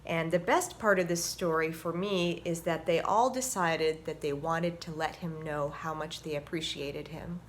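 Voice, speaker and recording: A woman's voice: sits low, steady tone, measured pace, few pauses, a little hiss or hum. Woman presenting a children's story time. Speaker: host and story reader, orchestra violinist. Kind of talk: children's storybook reading and friendly explaining to children.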